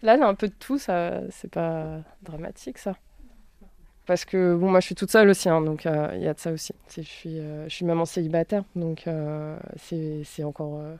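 A woman giving casual, spontaneous testimony as a mother, halting and often leaving sentences unfinished. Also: low voice, fairly even intonation, warm tone, no room sound. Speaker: mother interviewed at a health centre.

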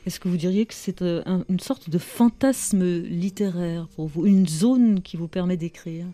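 A woman hosting a literary interview, asking measured, probing questions. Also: on the low side, dark tone. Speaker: radio interviewer.

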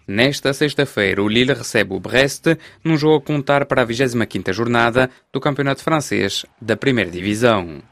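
A man working as a radio sports reporter, reading the news and putting questions to players in a measured, formal way. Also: mid-range voice, gravelly, a bit bright, no echo. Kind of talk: scripted news reading and interviewing.

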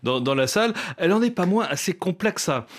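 A man hosting a radio culture show, introducing a theatre piece in a measured, conversational way with small hesitations. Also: high voice, big pitch swings. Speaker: radio host.

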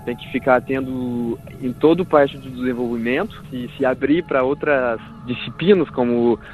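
A man from France answering in an interview, halting, repeating and correcting himself as he explains. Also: mid-range voice, faint music behind. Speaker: interviewee, project developer. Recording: noisy ambient background.

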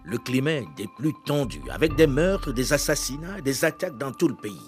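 A man hosting a history radio program, reading scripted documentary narration in a measured, solemn way. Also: mid-range voice, very animated, over music.

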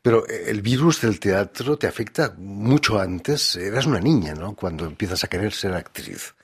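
A man hosting a radio interview from a studio, introducing his guest in a measured, formal way. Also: on the low side, expressive, breathy edge. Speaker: radio host.

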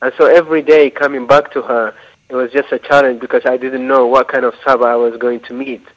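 Eritrean-born male novelist, mid-range voice, reflective and halting, dull muffled recording. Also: medium pitch, flat delivery. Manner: thoughtful, halting, with restarts and fillers. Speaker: novelist guest. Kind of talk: reflective interview answers.

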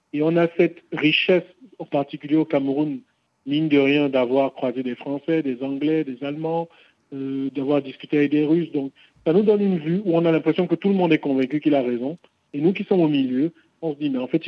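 A man's voice: on the high side, fairly even intonation, a little fast, no room sound, muffled recording. A man who founded a game studio, explaining his game's vision as a radio discussion guest, reflective and building long arguments.

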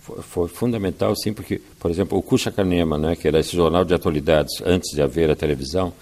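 An older man from Brazil, a writer and filmmaker, giving measured, storytelling answers in an interview and often tagging his sentences with "né". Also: low-pitched, darkish tone.

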